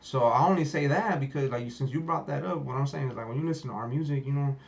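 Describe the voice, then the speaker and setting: A man speaking in a casual podcast chat, his voice gravelly and rough at the edges. He rambles with constant fillers and casual cursing. His first language is Spanish. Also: mid-range voice, quick pace. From United States. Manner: loose and rambling, heavy fillers, swears. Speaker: rapper and podcast guest.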